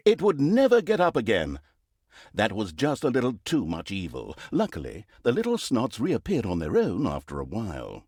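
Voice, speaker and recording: Sardonic, wildly expressive game narrator. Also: male voice, medium pitch, slightly rough, dry recording, clean studio sound. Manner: sardonic, mock-grand, expressive. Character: sardonic male storybook narrator of an evil overlord's deeds. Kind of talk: theatrical scripted storybook narration.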